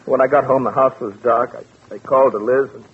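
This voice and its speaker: An actor playing a frightened, confused and trusting murder suspect in a 1940s radio drama, stammering and pleading. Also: male voice, medium pitch, steady tone, a little fast, breathy edge. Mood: panicky, confused. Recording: tinny, noisy old radio recording.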